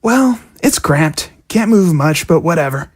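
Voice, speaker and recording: A woman playing a youthful zombie prisoner, frank and low-key, talking casually in an audio drama. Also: animated, measured pace, a little mellow, husky, full character voice. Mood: confiding. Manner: casual, matter-of-fact.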